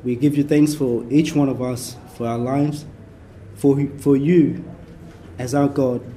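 A soft-spoken man from Vietnam, a Capuchin priest, leading a prayer workshop for a small group in a casual way and leaning on his notes. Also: medium pitch, fairly even intonation, measured pace, slight background noise. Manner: soft-spoken, relies on notes, chatty asides. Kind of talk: informal prayer workshop talk.

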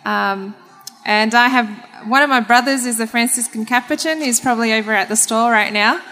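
A young Australian woman, a religious sister, speaks in a bright, higher voice in a hall with long reverb and faint music behind. She is friendly and laughs between sentences. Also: a little fast. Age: young adult. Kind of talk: casual guest talk on stage.

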